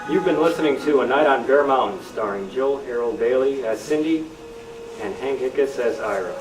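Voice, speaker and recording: A man's voice: medium pitch, lively intonation, normal speed, over music. Guy doing old radio style credits, mid voice, far from the mic. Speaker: announcer. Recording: echoey room, far from mic.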